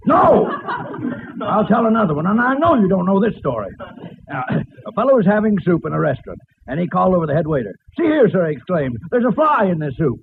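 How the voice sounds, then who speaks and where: An actor playing an irritable, self-important joke teller at a lodge party, testy and laboured, in 1940s radio comedy style. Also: male voice, sits high, sing-song, a little fast, gravelly, phone-quality sound, full character voice. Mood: exasperated. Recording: thin, noisy old radio recording.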